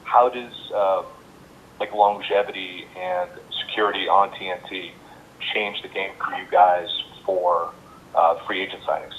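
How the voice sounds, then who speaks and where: A male reporter casually asking a question on a press conference call over a phone. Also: a little slow. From New Jersey area. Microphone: telephone. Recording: echoey, noisy, dull phone line with rumble.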